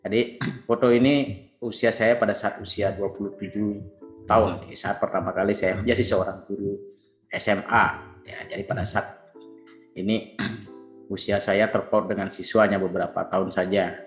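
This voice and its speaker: A man in his fifties, a teacher, earnestly presenting to fellow educators and clearing his throat often. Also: lowish voice, steady tone, moderate pace, long pauses, echoey room, faint music behind, low-quality recording. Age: 50s.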